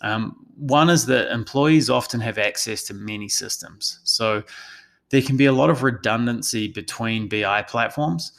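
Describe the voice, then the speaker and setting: A man with a New Zealand accent giving a prepared webinar presentation as a consultant, in a measured, explanatory, professional manner. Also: medium pitch, vocal fry, fairly bright tone. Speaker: analytics consultant presenting a session.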